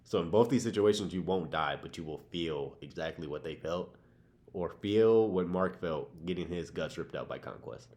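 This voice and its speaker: A man's voice: low voice, normal speed, darkish tone. A young American man co-hosting a podcast, talking casually with slangy, opinionated delivery. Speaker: podcast co-host.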